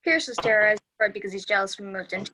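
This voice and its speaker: A woman's voice: medium pitch, fast, bright, forceful tone, a little hiss or hum, natural voice. A woman playing a matter-of-fact, geeky young man in an amateur sitcom table read.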